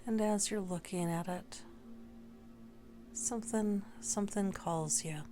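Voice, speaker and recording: A woman leading a guided meditation in a gentle, unhurried way, leaving long pauses between short phrases. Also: mid-range voice, soft background music. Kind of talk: slow guided meditation narration.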